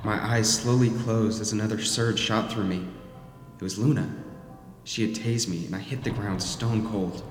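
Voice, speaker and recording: A man's voice: on the low side, fairly even intonation, moderate pace, lightly acted. A man acting as a troubled narrator possessed by a cursed mask in a dramatic, confessional horror audio drama. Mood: grim, anguished. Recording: echoey hall reverb, music underneath. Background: music.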